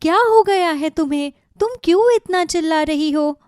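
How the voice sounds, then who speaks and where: A woman's voice: very high voice, normal speed, a little mellow, no echo, clean studio sound. A woman voicing a kind, devoted village wife in a cartoon story, earnest and caring in manner. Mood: soothing, concerned.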